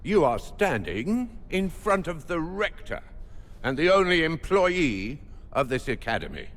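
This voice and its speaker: An actor playing a fussy, pompous, overworked academy rector in a video game, with hesitant, formal phrasing. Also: male voice, a little high, sing-song, measured pace, fairly bright tone, long reverb tail. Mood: flustered, self-important. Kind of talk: video game dialogue acting.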